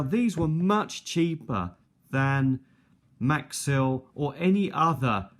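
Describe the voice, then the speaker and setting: A middle-aged man with a mid-range voice talks casually and without a script, showing an item to viewers. He takes his time, speaking slowly and hesitantly, and wanders conversationally from point to point. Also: mid-range voice. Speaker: video host. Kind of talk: unscripted casual product show-and-tell. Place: indoors at a kitchen table. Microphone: handheld or nearby tablet's built-in mic.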